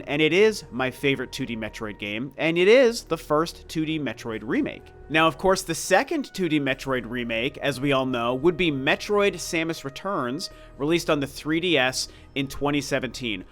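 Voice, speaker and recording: A man's voice: a little high, fairly bright tone. A man in his forties with a congested, slightly scratchy, stuffed-up voice, giving casual and emphatic opinionated commentary as a video game review host. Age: 40s. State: congested, sick with a cold.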